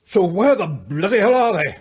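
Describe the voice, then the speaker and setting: Husky, irascible old English magnate. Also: male voice, high voice, sing-song, normal speed, dull audio, exaggerated voice. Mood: indignant, scornful. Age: older. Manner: blunt, swearing, peremptory. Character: irascible domineering old magnate, bitterly let down by family. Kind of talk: British radio play acting.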